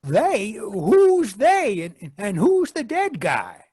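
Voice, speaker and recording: Man acting husky, wildly swooping, excitable farm husband. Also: high-pitched, extremely slow, no echo, clean studio sound, full character voice. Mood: excitable, teasing. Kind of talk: old-time radio drama acting.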